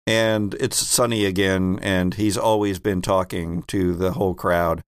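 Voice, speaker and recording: A man's voice: deep voice, steady tone, a little slow, clean tone, no echo, studio quality. A man narrating an improvised tabletop role-playing game as its game master and host.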